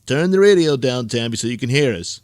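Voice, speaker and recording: A man's voice: mid-range voice, lively intonation, brisk, a little mellow, dry recording, natural voice. Guy doing a chipper radio call-in host, bouncy intonation, dry studio sound, parody show. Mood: patient, breezy.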